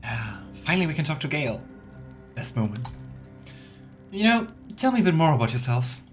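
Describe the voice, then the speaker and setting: A man's voice: on the high side, very animated, normal speed, faint music behind. A man giving casual let's play commentary in a chatty, playful way, with rambling asides. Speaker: let's player.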